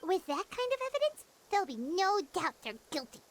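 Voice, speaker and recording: A woman voicing a small, childlike fairy companion in a video game, perky and suspicious, with a squeaky voice. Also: fairly quick, a little hiss or hum, full character voice. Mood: scheming, suspicious. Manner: excitable, refers to self by name.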